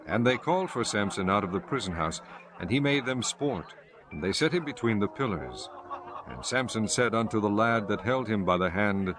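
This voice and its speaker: A man's voice: lowish voice, lively intonation, normal speed, crowd noise. A man giving a scripted narration of scripture as narrator of an audio drama.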